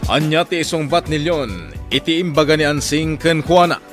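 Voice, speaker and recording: Higher-pitched male radio drama announcer over music. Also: normal speed. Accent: Ilocano. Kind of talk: scripted narration.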